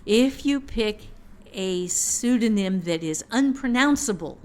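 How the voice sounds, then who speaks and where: A woman's voice: medium pitch, expressive, slow. A woman hosting a casual podcast conversation about writing, speaking frankly with the odd mild swear. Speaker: podcast host and fiction writer.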